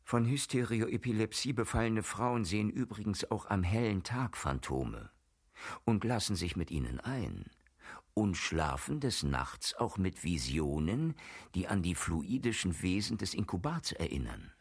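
An actor playing a learned man who gravely expounds on dark occult matters in a radio play, speaking in a deliberate, erudite way. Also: low-pitched, very animated, full character voice. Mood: sceptical, lecturing. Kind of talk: German radio play dialogue. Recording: music behind the voice.

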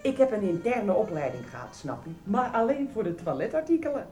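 An actress playing a naive, rule-bound supermarket shelf stocker in a radio play, earnest and chatty. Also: female voice, medium pitch, very animated, fairly quick, mellow, roomy sound, soft background music, lightly acted. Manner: chatty, earnest, reciting rules and slogans.

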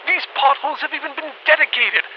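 A man's voice: extremely high pitch, quick pace, muffled recording, slight background noise. A man playing a pompous, self-important small-town police officer with an officious way of talking in radio comedy. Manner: pompous, officious, stammering at times.

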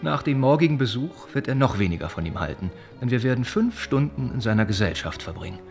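An actor playing an earnest, gently reproving young gentleman in a radio drama, speaking in thoughtful, formal phrases. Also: mid-range voice, lively intonation, quick pace, faint music behind, lightly acted. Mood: resigned.

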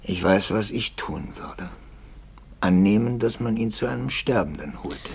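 An actor playing a troubled priest who speaks in searching, deliberate, insistent phrases with a husky, gravelly voice, in a German radio play. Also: male voice, fairly low pitch, lively intonation, a little mellow, full character voice. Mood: bitter, insistent. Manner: deliberate, searching, rhetorical questions. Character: troubled, brooding priest, probing and bitterly insistent.

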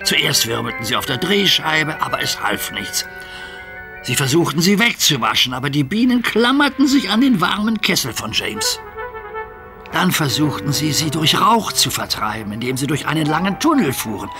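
Man, children's story narrator, expressive, animated pitch, music behind. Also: moderate pace.

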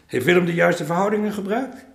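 An actor playing an exacting master painter in a historical radio drama, chiding his apprentice in an unhurried way with hesitant pauses. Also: sits high, vocal fry. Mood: measured. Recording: echoey room, music underneath.

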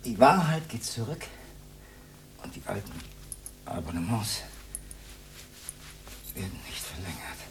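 A man's voice: fairly low pitch, very animated, a little slow, long pauses, a little hiss or hum. An actor in a radio drama playing an earnest, awkward, idealistic older man who speaks in a stilted, formal, lecturing way. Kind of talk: 1980s German radio drama acting.

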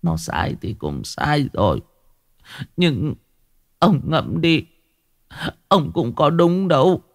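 A man's voice: fairly high pitch, huge pitch swings, slow, vocal fry, no room sound, clean studio sound, voice pushed up, exaggerated voice. A man voicing a distraught elderly mother and timid wife, pleading and stammering tearfully in a dramatic audio story. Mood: timid, sorrowful.

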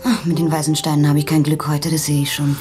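A woman's voice: low-pitched, flat delivery, fast talker, music in the background, close to an everyday voice. An actress playing a melancholy, wry woman who paints, in naturalistic radio play dialogue with a poetic, wistful way of talking. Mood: weary, complaining. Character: melancholy, chilly woman who paints, drinking over checkers.

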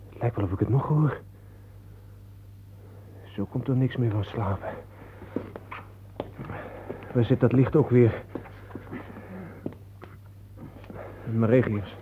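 A man's voice: medium pitch, takes it slowly, pauses often, background noise, close to an everyday voice. An actor playing a blunt, shaken man on edge after a nightmare, speaking colloquially and hesitantly in a radio play. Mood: groggy, muttering. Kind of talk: Dutch radio play acting. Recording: thin phone-like old radio play recording.

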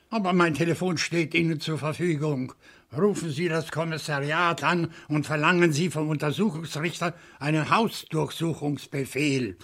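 Husky, defensive professor, fairly high-voiced. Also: male voice, a bit bright. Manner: clipped, defensive, precise. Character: indignant, cold-blooded professor suspected of murder. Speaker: actor in a crime radio play. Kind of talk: German crime radio drama acting.

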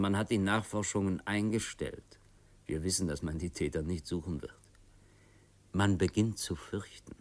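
Low-voiced, stern revolutionary boss played by a man. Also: lightly acted. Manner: deliberate, emphatic, commanding. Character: stern, cold male revolutionary committee boss, calculating. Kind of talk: German radio play acting.

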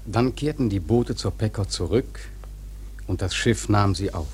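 A man narrating a literary radio drama in a measured, expressive storytelling manner. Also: lowish voice, slight background noise.

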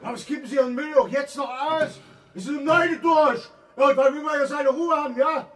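A woman's voice: high-pitched, brisk, natural voice. An actress playing an indignant, scolding female neighbour in a radio play. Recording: distant from microphone.

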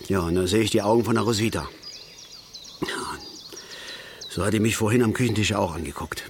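An actor plays a plain-spoken angler father in a naturalistic radio drama. His voice sits fairly low and he talks in blunt, halting bursts, repeating himself with an aggrieved edge. There is faint outdoor noise behind him. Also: close to an everyday voice. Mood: wistful, hurt. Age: middle-aged.

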